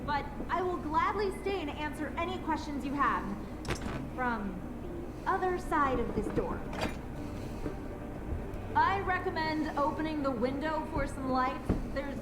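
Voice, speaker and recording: A woman's voice: sits high, unhurried, pauses often, echoey room, very noisy. An actress voicing a courteous, guarded woman who keeps her distance in a mystery game. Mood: polite. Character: polite wary woman caretaker of a house. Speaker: game character. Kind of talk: voiced game dialogue.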